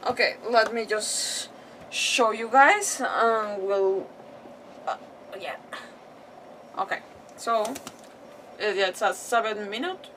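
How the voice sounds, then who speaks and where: A woman's voice: medium pitch, slow pace, long pauses, fairly bright tone, distant mic, a little hiss or hum, poor audio. A woman game streamer chatting casually on a livestream, scattered and exclamatory, often repeating words.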